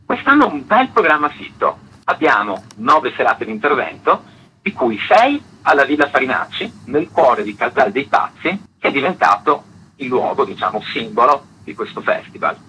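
A man's voice: mid-range voice, big pitch swings, normal speed, sharp tone, a little hiss or hum, poor audio. A man explaining things articulately and in detail as an artistic director being interviewed on the radio.

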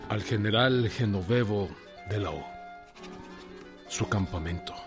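An actor with a Mexican accent playing a plainspoken, defiant rural revolutionary general in a radio drama. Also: male voice, on the low side, a little slow, loud music behind the voice, close to an everyday voice. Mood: formal, dictating.

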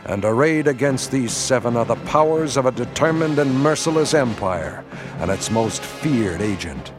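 A man of middle pitch tells the story in a grave, measured tone, setting the scene like an old radio serial. Clear orchestral music plays beneath his narration. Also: lively intonation. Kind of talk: scripted dramatic narration. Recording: narration over music score.

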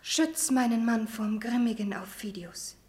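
An actress playing a timid, anxious young Roman wife with a soft, breathy voice in a classical German radio drama. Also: female voice, fairly high pitch, fairly even intonation, measured pace, close to an everyday voice. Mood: fearful.

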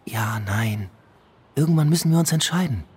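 An actor playing a contrite, impulsive police detective, earnest and halting, in a German radio drama. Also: male voice, mid-range voice, lively intonation, darkish tone, slightly rough. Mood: subdued.

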